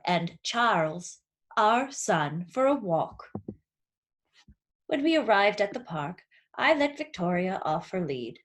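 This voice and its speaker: A woman reader puts on a well-to-do storybook mother who looks down on everyone. She speaks slowly at a medium pitch, with a commanding, easily affronted air. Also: natural voice. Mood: disdainful. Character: snobbish, disapproving well-to-do mother walking her dog. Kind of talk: storybook read-aloud.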